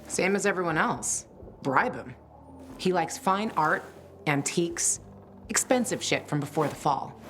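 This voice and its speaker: An actress playing a wry, streetwise woman in a video game, talking in a casual, sardonic and unhurried way. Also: lowish voice, lively intonation, a bit bright, slight background noise. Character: wry, streetwise woman contact, cool and teasing schemer. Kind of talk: video game dialogue acting.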